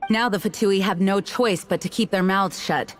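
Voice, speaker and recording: A measured, dutiful female commander from a video game played by a woman. Her medium-pitched voice is formal and articulate, with soft music underneath. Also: natural voice. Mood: composed. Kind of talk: video game character acting.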